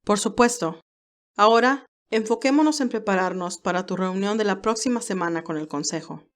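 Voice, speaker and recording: A woman's voice: mid-range voice, normal speed, close to an everyday voice. Woman doing a protective older guy advisor in an audio drama, earnest, stern, always cautioning. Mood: businesslike. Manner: earnest, admonishing.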